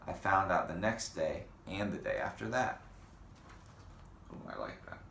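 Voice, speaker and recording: A man reading a children's picture book aloud in an unhurried way, pausing for casual comments and questions. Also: low voice, sing-song, gravelly, a bit bright. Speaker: storybook reader. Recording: roomy echo with faint background noise.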